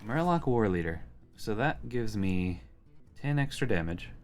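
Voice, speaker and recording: A man's voice: lowish voice, animated, unhurried, a little mellow. A man giving casual gameplay commentary, thinking aloud as he weighs his options. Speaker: host playing and commenting on a card game.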